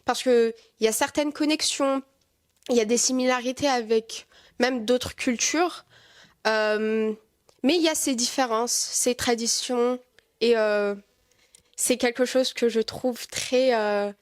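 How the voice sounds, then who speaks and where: A woman's voice: on the high side, steady tone, unhurried. An 18-year-old woman from France answering interview questions thoughtfully, with frequent hesitations. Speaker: guest.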